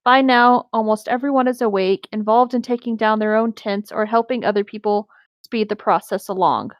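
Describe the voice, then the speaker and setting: A woman's voice: medium pitch, normal speed, no echo, clean studio sound. A woman narrating a story aloud in a casual, conversational style with some banter. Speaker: co-host reading an online story aloud.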